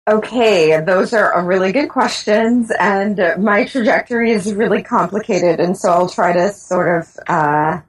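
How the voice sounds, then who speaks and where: A woman's voice: mid-range voice, unhurried, few pauses, husky, poor audio. A woman from the Bahamas answering interview questions thoughtfully, with frequent restarts and fillers. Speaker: guest author.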